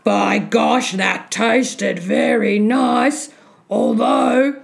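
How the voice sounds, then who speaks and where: A huge, gross storybook giant, played by a woman, rumbles out slow, menacing words. The delivery is loud and drawn-out, with a grumpy muttering edge. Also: gravelly, heavily acted. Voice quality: rumbling, booming.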